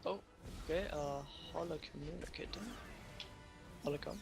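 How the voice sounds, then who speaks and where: Guy doing casual gaming commentary, highish voice, rambling to himself, game music behind. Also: steady tone, normal speed, creaky. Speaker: gaming video host.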